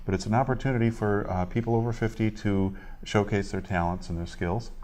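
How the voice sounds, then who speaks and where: A man being interviewed as a theatre director, explaining a show in a measured way with short halting pauses. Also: on the low side, fairly even intonation, darkish tone, slight background noise.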